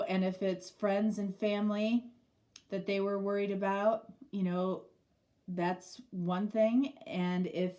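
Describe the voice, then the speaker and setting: A woman's voice: medium pitch, dark tone. A middle-aged woman with a stuffy, nasal voice from a cold, giving a casual tarot reading and rambling through many fillers. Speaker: tarot reader.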